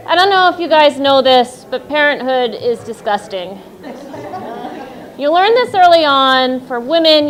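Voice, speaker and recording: Woman in her 30s, loud and wry, high voice, telling a story on stage. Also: poor audio. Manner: loud, wry, self-deprecating. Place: stage at a live storytelling event.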